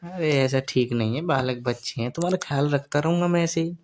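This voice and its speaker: A man's voice: on the high side, brisk, darkish tone. A middle-aged man in a casual personal call, talking in a teasing way and often repeating his phrases.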